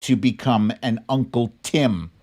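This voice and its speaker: Casting: American political show host, medium pitch, slow deliberate pace, blunt and indignant, speaking off the cuff with heavy emphasis. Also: darkish tone. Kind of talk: opinionated political commentary, unscripted.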